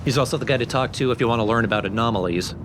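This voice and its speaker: A man giving casual, informal gameplay commentary, explaining things as the host of a let's play. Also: racing. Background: game sound effects and rumble.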